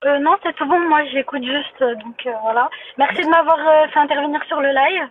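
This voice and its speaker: High-voiced woman on a tinny line. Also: fairly even intonation, fairly quick, background noise. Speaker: guest caller. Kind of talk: polite farewell on a live stream.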